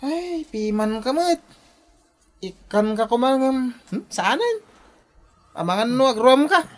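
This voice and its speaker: A woman's voice: high-pitched, big pitch swings, takes it slowly, heavily acted. A woman playing a spoiled, lazy rich schoolboy in a radio drama, with a taunting, boastful manner.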